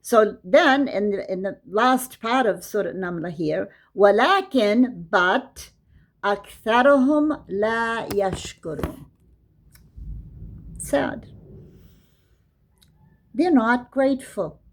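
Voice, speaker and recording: A woman's voice: on the high side, animated, slow, pauses often, low-quality recording. A woman giving a casual religious lesson, explaining verses and reciting Arabic. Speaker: Quran teacher.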